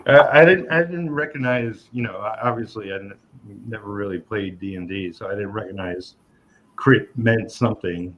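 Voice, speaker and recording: Low-voiced man on a dull, lo-fi line. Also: moderate pace, fairly bright tone. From New York. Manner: hesitant, many ums and restarts. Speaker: podcast host. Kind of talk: casual interview chat. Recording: dull lo-fi sound with faint noise.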